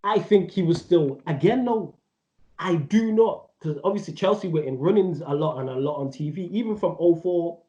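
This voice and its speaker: A man's voice: fairly high pitch, normal speed, warm tone. A man in his thirties co-hosting a casual football debate, rambling and emphatic, full of slang. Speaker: podcast co-host. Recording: echoey, dull audio.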